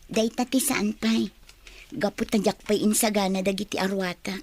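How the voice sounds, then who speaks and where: A woman's voice: mid-range voice, fairly even intonation, normal speed, darkish tone, natural voice. A woman playing a headstrong, resentful young student in an Ilocano radio drama, speaking with defiance. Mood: weary, resolved. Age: young adult.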